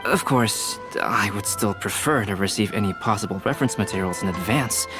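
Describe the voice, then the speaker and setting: A man voicing a calm, composed young male painter in a video game, speaking in a measured way. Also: lowish voice, music in the background, lightly acted. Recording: game audio with faint score.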